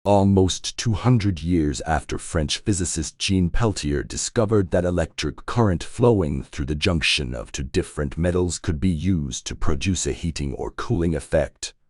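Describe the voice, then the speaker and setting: A man with an extremely low voice reads prepared informational passages aloud. The sound is dry, with hardly any room on it, as in a model reading for learners. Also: animated, moderate pace, few pauses, a little mellow, no room sound. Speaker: read-aloud model reader. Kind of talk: scripted read-aloud of science passages.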